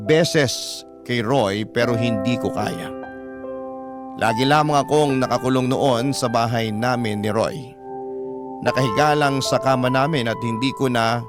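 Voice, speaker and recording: A man with a Filipino accent narrating a dramatic first-person story in a solemn, sorrowful, deliberate way. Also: mid-range voice. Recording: piano music behind the voice.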